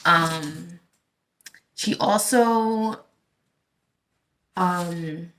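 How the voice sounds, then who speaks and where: Bright-voiced, slow-talking woman vlogger. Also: on the low side. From Dominican Republic. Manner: halting, rambling, thinking aloud. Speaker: tarot reader and channel host. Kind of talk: casual vlog talk to viewers.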